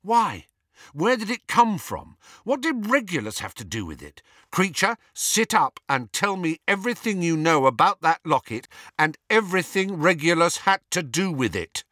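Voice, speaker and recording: A man's voice: sits high, very animated, normal speed, no room sound, clean studio sound, pitched above the performer's own voice, exaggerated voice. A man voicing a commanding young wizard. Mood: firm questioning. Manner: forceful, demanding. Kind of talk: audiobook character voice.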